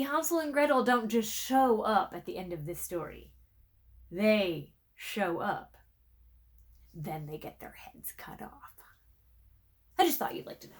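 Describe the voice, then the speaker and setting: A woman reading a storybook aloud in an expressive, dramatic way, letting her phrases drop into a hush. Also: on the high side. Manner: dramatic, with hushed phrase endings. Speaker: storybook reader. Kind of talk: expressive read-aloud narration.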